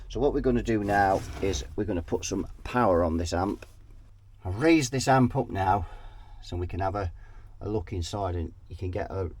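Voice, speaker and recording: A man's voice: deep voice, a little mellow. A man with a head cold, sounding nasal and sniffly, talking casually through an amp repair at his workbench and often trailing off mid-thought. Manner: thinking aloud, trailing off and restarting. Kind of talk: casual workshop repair walkthrough.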